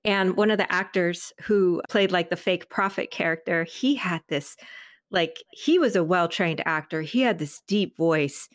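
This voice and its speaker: A woman's voice: lowish voice, unhurried, no echo, clean studio sound. A woman chatting casually as a podcast guest, gushing and informal, often saying "like" and "you know". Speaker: interview guest, audio drama creator and narrator. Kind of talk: casual chat in a podcast interview.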